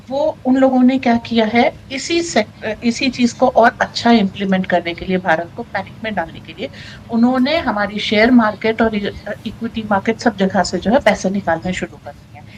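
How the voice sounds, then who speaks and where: A woman's voice: fairly high pitch, rough recording. Woman explaining economics over background noise. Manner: halting, self-correcting, mixes in English terms. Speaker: current affairs commentator.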